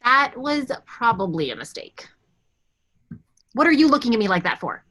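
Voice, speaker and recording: A woman's voice: a little high, big pitch swings, normal speed, bright, forceful tone, heavily acted. A woman in a staged comedy reading playing an assertive office worker with a pointed, challenging manner. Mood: pointed, dry.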